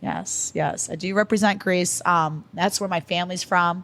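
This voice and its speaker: A young American woman talking quickly and eagerly as a podcast guest, with lots of casual slang. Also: on the low side. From United States. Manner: fast, animated, slangy talk. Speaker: guest, fighter and commentator. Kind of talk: casual interview chat.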